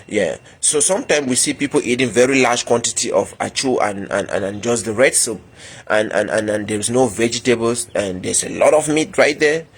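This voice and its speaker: Halting man with husky voice. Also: mid-range voice. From Cameroon. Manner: hesitant, many repeated ands and fillers. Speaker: medical instructor. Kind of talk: educational health talk.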